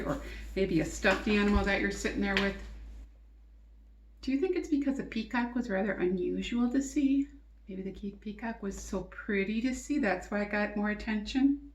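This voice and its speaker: A woman teacher reading a storybook aloud to young children, expressively, pausing to ask questions and explain things simply. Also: mid-range voice. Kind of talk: interactive storybook read-aloud and lesson. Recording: echoey, roomy sound.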